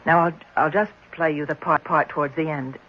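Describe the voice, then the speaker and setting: An actress playing an earnest, careful orphanage worker in a 1970s radio drama, with a polite, hesitant delivery. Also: female voice, sits low, steady tone, fairly quick, natural voice. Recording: muffled, hissy vintage radio recording.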